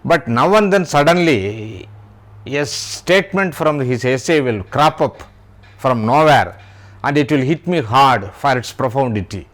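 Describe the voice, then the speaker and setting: Slow-speaking Indian man with an accent. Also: medium pitch, expressive, a bit bright. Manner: deliberate, spells out words letter by letter. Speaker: YouTube host, writer. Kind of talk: slow literary talk.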